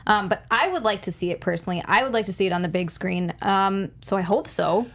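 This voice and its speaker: Female panelist, medium-pitched voice, informal conversational delivery. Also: quick pace, a bit bright. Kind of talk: casual panel discussion.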